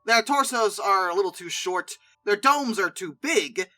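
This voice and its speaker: A host giving casual, opinionated commentary in an informal, chatty way, expressive and given to exasperated mock disbelief. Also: medium pitch, unhurried, soft background music. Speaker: video host and narrator.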